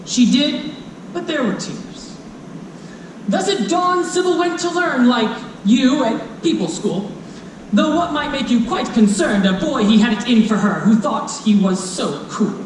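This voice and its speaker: A storyteller reading rhyming children's fantasy to a live audience in a dramatic, playful way, speaking directly to listeners. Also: mid-range voice. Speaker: storyteller presenting a children's book. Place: convention stage before a live audience. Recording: echoey live hall, distant mic, faint noise.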